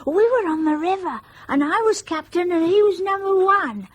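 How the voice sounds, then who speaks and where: A woman's voice: extremely high pitch, lively intonation, moderate pace. A woman playing an eager young child in a radio drama. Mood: bright, excited.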